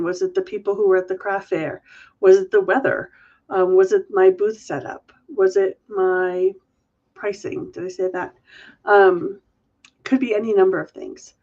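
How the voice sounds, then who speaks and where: A woman's voice: medium pitch, darkish tone, muffled recording. A woman hosting a live question-and-answer stream, nasal and congested from a cold, chatting through viewer questions. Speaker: host.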